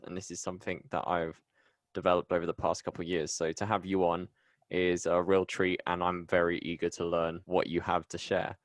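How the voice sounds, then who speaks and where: A man's voice: deep voice, no echo, studio quality. A man hosting a podcast, speaking conversationally to his guests and listeners. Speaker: podcast host. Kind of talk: conversational interviewing and show promotion.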